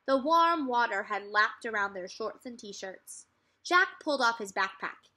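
A young woman reads a children's storybook in a high, very lively voice. Her tone is bright and crisp-edged, and she narrates expressively, phrase by phrase, for young listeners. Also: unhurried. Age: young adult. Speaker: teacher reading aloud to second graders. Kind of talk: children's storybook read-aloud. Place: furnished room at home, indoors.

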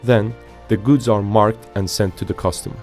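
Man, low voice, scripted documentary narration, measured and formal, light music behind. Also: fairly even intonation, a little mellow. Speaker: narrator of an industrial report. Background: music bed.

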